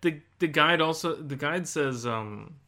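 A man's voice: on the high side, expressive, unhurried, sharp tone. A man co-hosting a podcast, chatting casually about video games. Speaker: podcast host. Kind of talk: casual video game chat.

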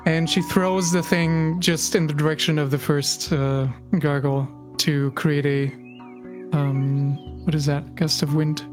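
A man playing a tabletop role-playing game, casually and haltingly narrating his character's actions. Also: fairly high pitch, flat delivery, music in the background.

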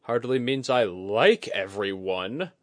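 A man voicing an earnest, worried officer with measured, formal phrasing in a fantasy audiobook. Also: lowish voice, expressive, no room sound, clean studio sound. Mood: weary.

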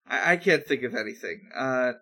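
A man's voice: medium pitch, big pitch swings, moderate pace, bright, forceful tone, slightly rough. A man co-hosting a podcast in casual chat, hesitant and often thinking aloud. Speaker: podcast co-host.

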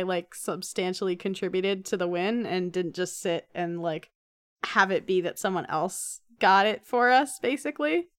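A woman's voice: medium pitch, fairly bright tone, clean tone, dry recording, clean studio sound. A woman co-hosting a podcast in casual, informal, conversational talk. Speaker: podcast co-host.

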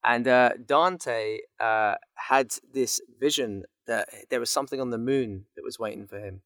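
A man chats casually as a voice actor guesting on a podcast. His voice sits at a medium pitch, and the recording is dry. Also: a little slow, fairly bright tone, dry recording. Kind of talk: casual chat.

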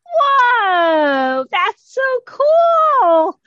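A woman's voice: high-pitched, expressive, takes it slowly, no room sound, exaggerated voice. A woman voicing a small, wonderstruck troll who muses hesitantly in an improvised audio drama, slightly muffled. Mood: amazed.

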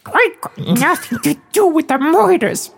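A man's voice: very high-pitched, expressive, measured pace, gravelly, a bit bright, a little hiss or hum, pitched above the performer's own voice, heavily acted. A man voicing a squawking, shifty chicken crook who stammers and pleads in a comic audio drama. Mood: shifty, nervous. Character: frantic, squawking male chicken crook, shifty petty criminal.

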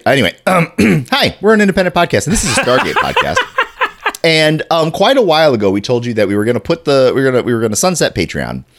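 A podcast host with a stuffy chest cold, chatting casually, rambling and restarting his sentences and clearing his throat often. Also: mid-range voice.

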